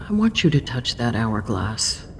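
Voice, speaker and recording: An actress playing a solemn, ageless female keeper of death in a horror audio drama, gentle but resolute, with a gravelly, deliberate delivery. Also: a bass voice, big pitch swings, a little hiss or hum, heavily acted. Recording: faint music underneath.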